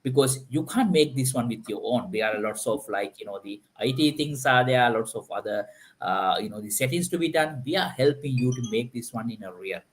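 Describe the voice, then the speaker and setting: A man's voice: medium pitch, animated, a little fast, low-quality recording. A man hosting a live online panel, talking in a loose, filler-heavy way and often restarting his sentences. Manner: filler-heavy, repeats "like, you know". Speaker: host. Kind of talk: live stream panel chat.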